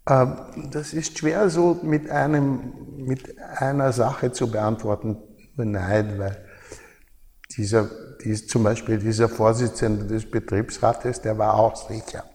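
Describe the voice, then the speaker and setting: An older man from Austria speaks with an Austrian accent at a middling pitch. He reflects as an interviewee, halting and reformulating his phrases. His voice carries the long reverb of a hall. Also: darkish tone. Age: older, over 70. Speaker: interviewee, former journalist.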